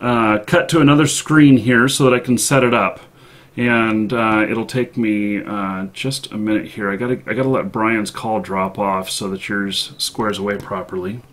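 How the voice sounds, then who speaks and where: A man hosting a live stream in an unscripted, chatty way, speaking haltingly with long pauses. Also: on the low side, steady tone, fairly bright tone. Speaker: live show host.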